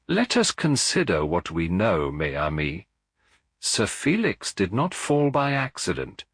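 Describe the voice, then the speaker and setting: A man voicing a shrewd, calm Belgian gentleman detective in a mystery audiobook, deliberate, courteous and theatrical. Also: low voice, husky, no echo, studio quality, heavily acted. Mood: calm, grave.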